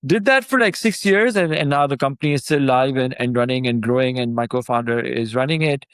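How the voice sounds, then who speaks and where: A man's voice: mid-range voice, a little fast, clean tone, no echo. Casual man chatting from a dry mic. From Pakistan. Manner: relaxed and conversational, many fillers, animated when excited. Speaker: startup founder guest. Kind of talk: casual interview chat.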